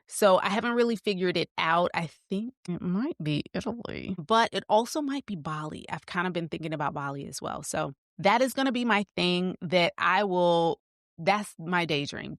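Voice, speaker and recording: A woman's voice: lowish voice, fairly bright tone, no echo, studio quality. A woman in her fifties hosting a motivational podcast, upbeat and coaching, speaking straight to her listeners in a friendly, familiar way. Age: 50s. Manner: upbeat, coaching, talks straight to listeners with "sis", "honey", "y'all". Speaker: podcast host.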